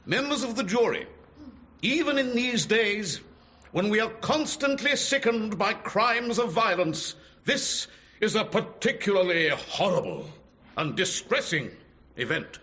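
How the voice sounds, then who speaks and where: An actor playing an earnest, pompous prosecuting barrister in a radio drama, with deliberate, theatrical phrasing. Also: male voice, sits high, very animated, bright, forceful tone, full character voice. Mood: grave.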